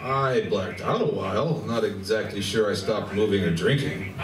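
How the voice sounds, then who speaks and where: A man's voice: lowish voice, normal speed, crowd noise, natural voice. A man playing a flirtatious, hard-drinking male wolf in a radio play, flippant and given to rambling. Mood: rambling, evasive. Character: boozy, flirtatious, roguish male wolf party animal. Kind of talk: live stage radio play acting. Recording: roomy, distant recording with faint noise.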